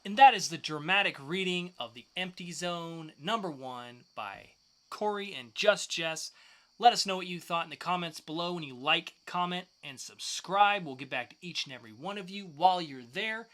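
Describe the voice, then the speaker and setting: Bright, higher-voiced man co-hosting a podcast. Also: normal speed. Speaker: podcast co-host. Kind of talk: upbeat scripted podcast presenting.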